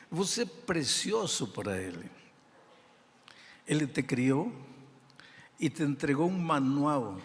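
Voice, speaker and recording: An older man with a non-native accent in Portuguese, preaching a sermon in slow, deliberate phrases with emphatic pauses. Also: medium pitch. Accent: non-native speaker of Portuguese. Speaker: pastor. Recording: reverberant hall sound.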